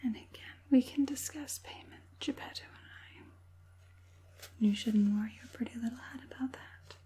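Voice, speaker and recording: A woman voicing an evil witch puppet master in a whispered ASMR roleplay, coaxing and falsely caring, slow and deliberate in a breathy hush. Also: on the high side. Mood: sly, coaxing. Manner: slow, deliberate, soothingly menacing.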